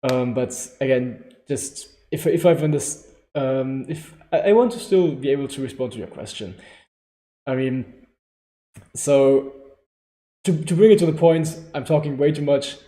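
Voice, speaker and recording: A man's voice: measured pace, warm tone. Young German man, rambling in a roomy space. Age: 20s. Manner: rambling, self-correcting, laughing often. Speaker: livestream host. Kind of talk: casual live Q&A. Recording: echoey room with low-quality stream audio.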